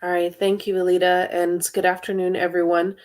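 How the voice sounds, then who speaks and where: A woman presenting in a webinar about study programs in an informative, steady way, with frequent hesitation fillers, over a computer mic. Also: on the low side, brisk. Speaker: university international student services director, presenter.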